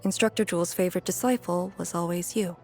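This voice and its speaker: Casting: brooding female game warrior, lowish gravelly voice, dry and blunt. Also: fast talker, darkish tone, soft background music. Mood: reflective. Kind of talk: video game voice acting.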